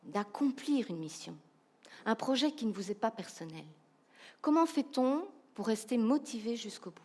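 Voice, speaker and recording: A woman with a Belgian French accent giving a humorous, animated keynote talk, asking the audience rhetorical questions. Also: a little high, moderate pace. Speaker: keynote speaker, professional coach.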